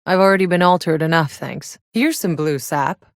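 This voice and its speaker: A woman's voice: sits low, normal speed, dry recording, clean studio sound. An actress playing a tough, sardonic female soldier and explorer in a sci-fi video game, blunt and matter-of-fact. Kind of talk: scripted video game character voice lines.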